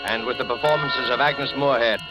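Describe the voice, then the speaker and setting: A man introducing a radio suspense program in a dramatic, measured 1940s announcing style. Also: mid-range voice, low-quality recording. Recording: old radio broadcast, loud music.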